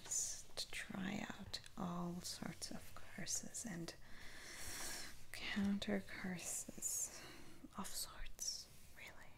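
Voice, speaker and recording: A woman whispering in a breathy, halting, gently reassuring way, roleplaying a soothing witch doctor in an ASMR style. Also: medium pitch. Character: soothing, unhurried female witch doctor lifting curses. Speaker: ASMR performer.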